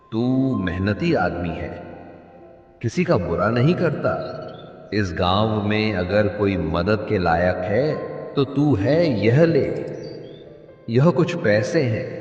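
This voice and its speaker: Man playing a slow, low-voiced village headman. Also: dull audio. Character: kind, henpecked village headman, weary but generous. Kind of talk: Hindi cartoon moral story dialogue. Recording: distant echoey reverb, music behind.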